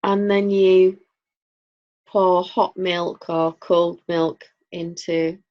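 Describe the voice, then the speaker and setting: A woman's voice: fairly low pitch, flat delivery, extremely slow. Northern English woman co-host, easy rambling chat, dry wit, lo-fi dull sound. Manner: chatty, rambling, dry humor. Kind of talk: casual chat. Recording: dull lo-fi audio.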